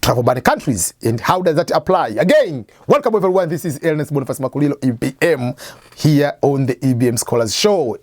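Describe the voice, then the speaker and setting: A man's voice: a little high, darkish tone, dry recording, clean studio sound. A man hosting an advice show, explaining step by step in a conversational way, asking and answering his own questions. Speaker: host of an immigration advice channel. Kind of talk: explanatory talk to camera.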